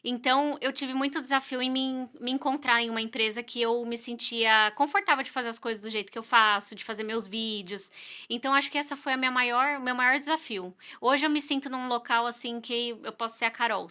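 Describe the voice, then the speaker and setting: A woman's voice: on the high side, steady tone, a little fast, pushed and bright, smooth. A Brazilian woman who works as a real estate agent, talking earnestly in a casual interview. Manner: earnest, conversational, frequent "né" and "sabe".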